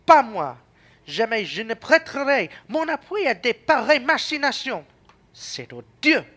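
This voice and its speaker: A woman's voice: on the high side, very animated, measured pace, a bit bright, exaggerated voice. A young woman playing an indignant, principled traveller in a French radio play, speaking emphatically. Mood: outraged.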